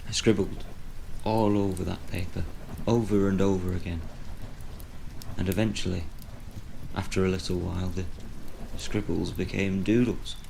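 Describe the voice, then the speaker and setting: Audio drama lead: older male horror writer, low gravelly register, reflective and wry, faint noise behind. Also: little pitch movement, moderate pace, mellow, poor audio. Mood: brooding, reflective. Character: retired horror storyteller, older man, wry and brooding. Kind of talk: audio drama dialogue and storytelling.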